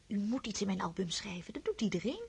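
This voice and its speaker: A woman's voice: medium pitch, sing-song, fast, gravelly, a little mellow, slightly rough, full character voice. An actress playing an eager, romantic young countess who talks in a girlish way and often drops to a whisper. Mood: chatty.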